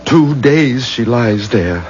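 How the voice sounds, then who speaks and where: A man's voice: mid-range voice, animated, slow, darkish tone, slight background noise. An actor playing an anxious, doting father, fretful and theatrical, in a 1970s radio drama. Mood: pleading. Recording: muffled old radio with music.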